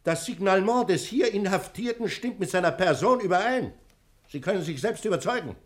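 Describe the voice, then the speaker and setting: Blustering male prison director: officious, scoffing, higher-pitched voice, very animated delivery, vintage radio drama. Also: fairly quick. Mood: sceptical, officious. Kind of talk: 1960s German radio drama acting.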